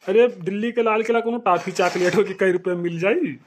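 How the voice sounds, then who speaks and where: A man plays a bossy cartoon villager with a notably high voice and an Awadhi accent. He scolds and threatens in blunt rustic speech, thoroughly exasperated with his companions. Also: brisk, a little hiss or hum, close to an everyday voice. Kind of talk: comic cartoon dialogue.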